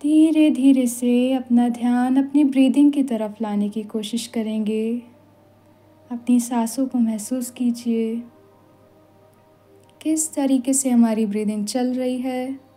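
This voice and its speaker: Meditation instructor: Indian woman, slightly high but relaxed voice, soothing and unhurried, long pauses. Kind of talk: guided meditation in Hindi with some English phrases. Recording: voice over background music. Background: meditation music.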